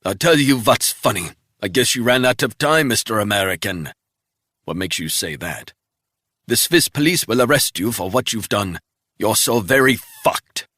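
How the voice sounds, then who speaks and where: A man's voice: fairly low pitch, animated, normal speed, pushed and bright, no echo, pitched above the performer's own voice. A man voicing a panicked middle-aged male spy who pleads and then sneers at his captor, in a dry, close audiobook reading. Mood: taunting.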